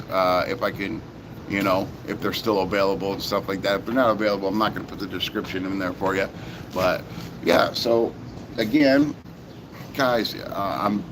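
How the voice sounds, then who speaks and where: A man with a sore, hoarse voice chatting casually about toy collecting, rambling with many fillers and repeats. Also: fairly low pitch, noisy background. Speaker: toy reviewer. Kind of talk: casual vlog chat.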